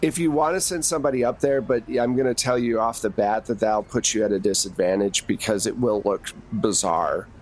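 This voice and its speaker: A man's voice: on the low side, fairly quick, hardly any pauses. A man speaking as game master, narrating action to players in a conversational way with casual asides.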